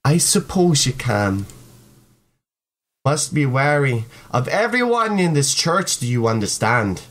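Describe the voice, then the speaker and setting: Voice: mid-range voice, big pitch swings, slow, fairly bright tone, voice pushed down, exaggerated voice. A performer voicing a domineering, possessive mother who belittles her child in a visual novel. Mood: reproachful, possessive.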